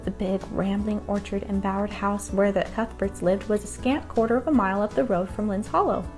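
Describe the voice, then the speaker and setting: A woman narrating a children's bedtime story from a script. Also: mid-range voice. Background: music.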